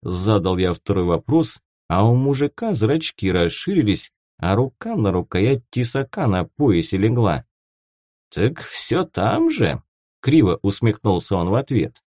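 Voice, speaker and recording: Male audiobook narrator for first-person fiction, mellow low voice with very varied intonation. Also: dry recording, clean studio sound.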